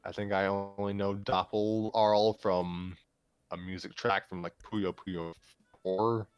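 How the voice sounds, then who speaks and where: A man with a fairly low voice reads a story aloud and chats in a casual way. The sound is dry, with little room in it, and faint music plays behind him. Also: flat delivery, takes it slowly, clean tone, no echo, lo-fi sound. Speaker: story reader and show regular. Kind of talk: reading fan fiction aloud, then casual chat.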